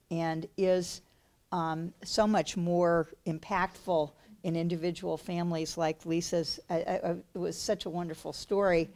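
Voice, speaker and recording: Woman, 60s, low voice, loud appreciative delivery, podium speech. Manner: loud, warm and appreciative, with many fillers. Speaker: health center executive director. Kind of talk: speech at a community breakfast. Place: large community function room. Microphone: podium microphone.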